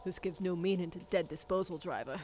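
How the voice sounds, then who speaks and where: A woman's voice: lowish voice, brisk, noisy background, close to an everyday voice. A woman playing a tough, street-smart chemist stranded in a wasteland, muttering defiantly to herself in an audio drama. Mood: weary, muttering. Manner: muttering to self, gritty, defiant.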